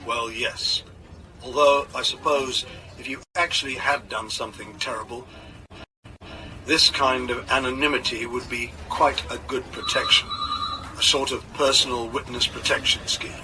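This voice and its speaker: A man playing a guarded, reflective novelist's stand-in, reading interview answers in a measured, thoughtful way on a radio programme. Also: medium pitch, fairly even intonation, lightly acted. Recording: distant, noisy radio playback.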